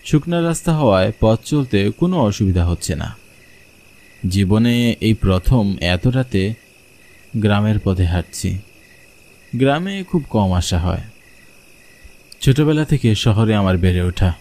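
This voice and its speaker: A man's voice: on the low side, expressive, measured pace, long pauses, dark tone. A man narrating a scripted horror story in the first person, as a storyteller.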